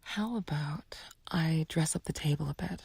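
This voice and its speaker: A woman playing a smug, taunting, playfully flirtatious villain in an ASMR roleplay, with a husky, breathy low voice and a teasing, unhurried, condescending manner. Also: darkish tone, no echo, heavily acted. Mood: flirtatious, possessive. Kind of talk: ASMR roleplay audio drama.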